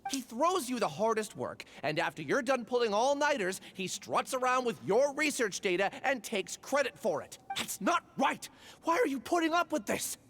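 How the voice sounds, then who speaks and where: Indignant young male student for a game: high voice, very animated, some noise behind. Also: normal speed, heavily acted. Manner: impassioned, protesting. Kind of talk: video game voice acting.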